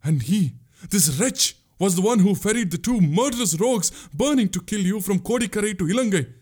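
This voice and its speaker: Man voicing a high, distraught young woman. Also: lively intonation, brisk, a little mellow, husky. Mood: ashamed, furious. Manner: breathless, gasping, accusing. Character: fiery, distraught young woman accusing her brother.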